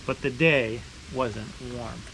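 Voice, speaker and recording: A man reading a picture book aloud to children with expressive, deliberate phrasing, stressing words as he narrates. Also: medium pitch, gravelly. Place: beside a boiling maple sap evaporator. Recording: steady ambient background noise.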